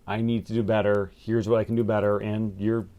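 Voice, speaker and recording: A man's voice: on the low side, little pitch movement, fairly quick, warm tone. A man hosting a podcast interview, encouraging and summing up what his guest says. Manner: encouraging, sums up the guest's points. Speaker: podcast host. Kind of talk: interview conversation. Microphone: video call.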